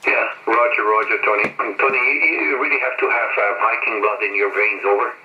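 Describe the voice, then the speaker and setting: A man with a Flemish accent speaking haltingly over an amateur radio link, repeating his phrases. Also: on the low side, steady tone, bright, forceful tone. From Belgium. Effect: amateur radio transmission. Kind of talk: radio contact chat. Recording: dull, echoey, noisy shortwave radio link.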